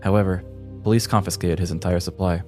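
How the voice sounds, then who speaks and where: A man with a low voice narrates a scripted comic true-crime tale. His delivery is dramatic, in the manner of a storybook. Faint music plays underneath. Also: steady tone, quick pace, dark tone. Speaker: podcast host.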